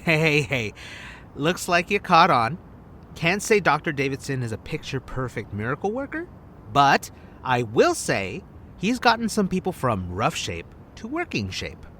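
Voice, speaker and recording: An actor playing a cocky, flirtatious, eccentric field agent in an audio drama, smug and theatrical, dropping in bits of Spanish. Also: male voice, on the high side, sing-song, normal speed, bright, forceful tone, slight background noise. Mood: breezy, coaxing.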